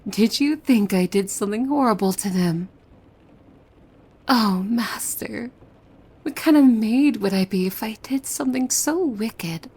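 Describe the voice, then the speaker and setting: Woman acting a breathy, slow-speaking possessive demon maid. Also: mid-range voice. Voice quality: soft, breathy. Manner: slow, formal, coy, often dropping into breathy whispers. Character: possessive, devoted young female demon maid, sweetly menacing. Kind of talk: ASMR roleplay, scripted monologue to the listener.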